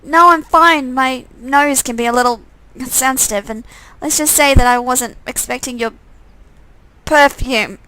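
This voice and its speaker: A woman's voice: high-pitched, unhurried, bright, forceful tone, slight background noise, poor audio. A woman voicing an easygoing, polite young man in a visual novel game, casual and apologetic. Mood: polite, queasy.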